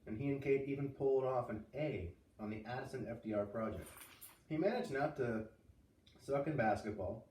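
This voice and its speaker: A man reading a novel aloud in an expressive storytelling style, now and then stumbling and rereading a phrase. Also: medium pitch. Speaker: read-aloud reader. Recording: roomy echo, distant microphone.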